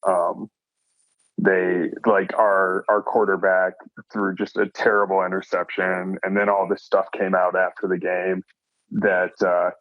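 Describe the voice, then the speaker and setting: A man from Minnesota, a listener guest recounting a sports loss in halting speech filled with "like" and "um". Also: low-pitched, little pitch movement, no echo, dull audio. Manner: halting, fills with "like" and "um". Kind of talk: casual podcast storytelling.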